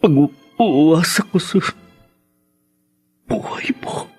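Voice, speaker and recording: A man's voice: a little high, extremely slow, dark tone. An actor playing a dying, remorseful lover in a radio drama, gasping weakly as he struggles to speak. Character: dying injured man, remorseful lover begging forgiveness. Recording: music behind.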